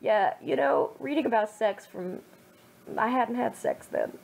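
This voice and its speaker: A woman's voice: fairly high pitch, measured pace, vocal fry, darkish tone. A young woman reading her own fan fiction aloud, then adding wry, self-mocking commentary.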